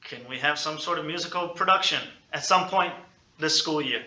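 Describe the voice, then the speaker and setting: A male sports podcast host with a very bright, punchy voice. He talks casually and playfully, teasing and hyping up his guests. The sound is noticeably roomy. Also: a little high, normal speed. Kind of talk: casual sit-down sports interview.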